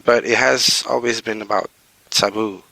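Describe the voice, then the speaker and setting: A man's voice: on the low side, steady tone, moderate pace, gravelly, bright, forceful tone, no room sound, low-quality recording. A man, a podcast guest speaking hesitantly with many fillers and restarts. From Portugal. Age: 40s. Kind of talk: casual interview talk.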